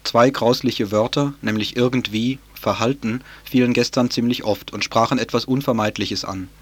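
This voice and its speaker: A man's voice: mid-range voice, slightly rough. A man presenting a community radio news show in a loose, conversational way. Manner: relaxed, loosely worded, conversational. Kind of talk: informal radio news moderation.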